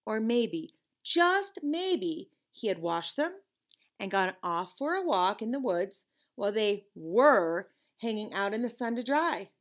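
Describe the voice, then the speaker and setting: A woman voicing a storybook lizard's bossy, scolding conscience, interrogating with drawn-out suspicion. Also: mid-range voice, sing-song. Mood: stern, bossy.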